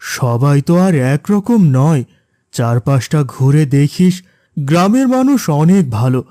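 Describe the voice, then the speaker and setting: A man voicing a gentle, patient father in a cartoon, speaking in a reassuring way. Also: a little high, very animated, a little slow, dry recording, clean studio sound. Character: gentle, reassuring middle-aged village-born father. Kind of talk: cartoon character dialogue.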